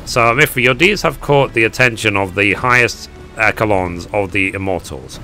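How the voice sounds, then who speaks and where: A man with a hoarse voice reading the lines of a confident male military officer from a game. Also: fairly low pitch, normal speed, bright, forceful tone, faint music behind. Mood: commanding.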